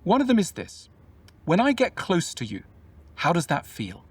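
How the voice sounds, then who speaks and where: A man talking in a measured, explanatory way about relationships, often posing questions to the listener. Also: high voice, huge pitch swings, breathy edge, far from the mic. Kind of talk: reflective conversational talk.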